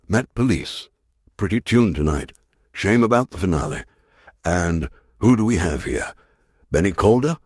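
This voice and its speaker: A man plays a hard-boiled detective inspector in a radio crime drama. He is low-pitched with lively inflection, pressing with dry, curt sarcasm in a dry studio sound. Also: dry recording, full character voice. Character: hard-boiled, sardonic male London police detective inspector.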